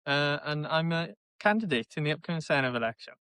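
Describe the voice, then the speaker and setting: A young man with a South Wales valleys accent, answering interview questions in a plainspoken, halting way. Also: a little high, lively intonation, bright, forceful tone, smooth, dry recording. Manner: plainspoken, halting, searches for words. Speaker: interviewee, election candidate.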